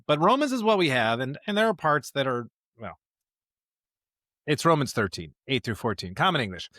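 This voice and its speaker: A man's voice: on the high side, fairly bright tone, no echo, clean studio sound. A man hosting a podcast in a conversational, informal and emphatic way, like a pastor talking scripture with a colleague.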